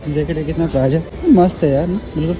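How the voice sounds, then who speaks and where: A man's voice: on the high side, fairly even intonation, fast, music in the background. A young man in his twenties vlogs casually, chatting and laughing as he walks through a shopping mall, filming himself on a phone at arm's length. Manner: chatty, laughing, talks straight to the phone camera. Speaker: vlogger. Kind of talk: casual walking vlog. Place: large indoor shopping mall. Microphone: phone camera held at arm's length. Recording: noisy crowded mall on a phone. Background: busy crowd chatter and loud mall noise.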